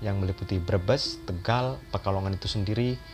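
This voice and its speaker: A man narrating an educational history video as a voice-over, in a calm and steady explaining manner. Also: low-pitched, fairly quick. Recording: voice-over with loud background music.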